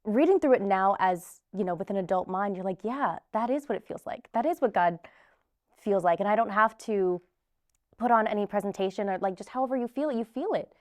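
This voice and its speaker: A woman's voice: medium pitch, expressive, a little fast, dry recording, studio quality. A woman interviewing a guest in a conversational way, encouraging him and often answering with short agreements.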